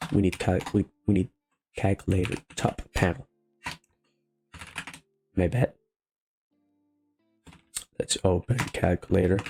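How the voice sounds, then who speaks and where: A man with a Venezuelan Spanish accent, a non-native speaker of English, thinking aloud haltingly through a casual coding tutorial. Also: low voice, gravelly. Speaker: programming tutorial host. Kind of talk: casual live-coding walkthrough. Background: faint music, keyboard typing.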